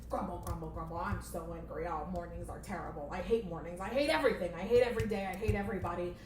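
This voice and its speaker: A woman's voice: fairly low pitch, far from the mic, voice pushed down, close to an everyday voice. A woman voicing a grumpy, harsh male boss in a puppet show, grumbling and bossy. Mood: grumbling, angry. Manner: muttering grumbles, slow drawn-out bellowed demands. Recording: roomy echo, background noise.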